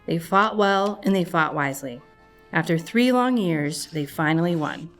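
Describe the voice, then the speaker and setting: A woman's voice: deep voice, expressive, moderate pace. A woman reading a scripted storybook narration for children. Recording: music playing behind the voice. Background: music.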